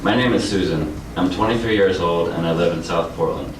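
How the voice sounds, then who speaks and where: A man's voice: deep voice, brisk. A man reading an anonymous testimony aloud at a public hearing. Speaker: reader of testimony. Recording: echoey hall, distant mic, noise.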